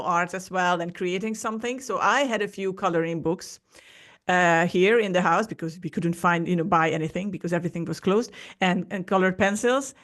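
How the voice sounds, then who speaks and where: A woman in her forties from Hungary, a native Hungarian speaker with a Hungarian accent, chatting casually as a podcast guest and often restarting her sentences. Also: mid-range voice. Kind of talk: casual interview talk.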